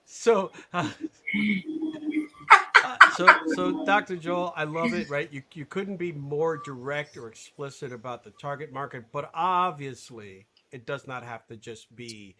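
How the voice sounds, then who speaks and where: Bright-voiced, animated male webinar host. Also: fairly high pitch, measured pace. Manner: animated and emphatic, laughing often. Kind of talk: live webinar interview.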